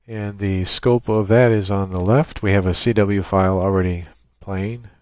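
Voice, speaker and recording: A man presenting an unscripted screen-share software tutorial in a halting, thinking-aloud way. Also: low voice, steady tone, no room sound.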